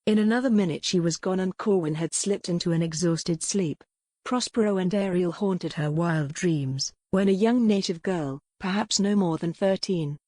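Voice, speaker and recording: Female narrator for a historical romance audiobook, slightly low register, scripted storytelling, intimate dry sound. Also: dark tone, no room sound.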